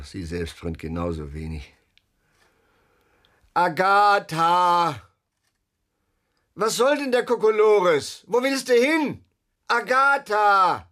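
A man's voice: a little high, sharp tone, full character voice. A man acting a cantankerous, middle-aged father and storyteller in a radio drama, theatrical and mocking. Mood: exasperated, scornful. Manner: theatrical, muttering to blustering. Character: cantankerous father who invents stories, mocking and grumbling.

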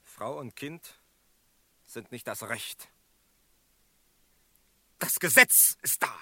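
Sharp, unyielding Western outlaw. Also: male voice, medium pitch, lively intonation, full character voice. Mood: insistent. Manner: deliberate, insistent, solemn. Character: stern, unyielding male Western outlaw demanding his sentence. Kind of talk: German radio play acting.

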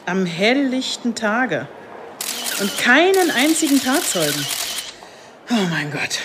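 An actress playing a no-nonsense chief inspector in a radio drama, curt, questioning and authoritative. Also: female voice, a little high, sing-song, slow. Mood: appalled. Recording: faint location-style ambient noise.

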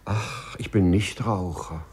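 Husky low-voiced guy as a meek polite office clerk, hesitant, old radio drama. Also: takes it slowly, darkish tone, exaggerated voice. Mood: timid, polite. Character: meek, timid, fussy old-fashioned male civil servant patient. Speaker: patient. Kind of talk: 1950s German radio play acting.

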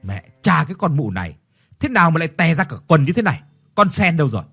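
A storyteller voices a short-tempered older village husband who barks and curses at everyone. His speech is loud and blustering, with a husky grain and big pitch swings. Soft music plays behind. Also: very animated, moderate pace. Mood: scolding. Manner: scolding, cursing, blustering.

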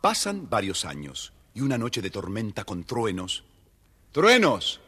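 A man narrating a children's fairy tale as a theatrical, husky-voiced storyteller. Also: lowish voice, huge pitch swings, unhurried, fairly bright tone. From Argentina.

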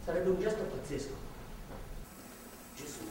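A male stage actor playing a volatile born-again reformed drunk, pleading haltingly with his wife in a theatrical, impassioned way. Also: sits high, expressive. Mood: pleading, desperate. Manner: halting, imploring, swinging from hushed to shouting. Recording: echoey theatre hall, distant mic, faint noise.

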